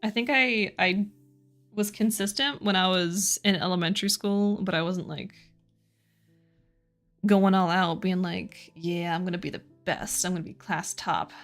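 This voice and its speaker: A woman's voice: on the low side, steady tone, unhurried, a bit bright. Woman, young adult, streamer, casual chat, giggly, self-deprecating, faint music behind. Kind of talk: casual chat with viewers while drawing.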